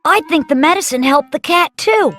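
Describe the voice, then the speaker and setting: Storybook role: excitable young boy, very high voice, earnest and cheeky, light music behind. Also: normal speed. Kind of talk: children's animated storybook acting.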